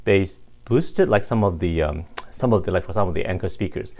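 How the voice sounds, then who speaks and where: A man giving a casual, unscripted hands-on product review in a halting, think-aloud manner. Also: deep voice, darkish tone.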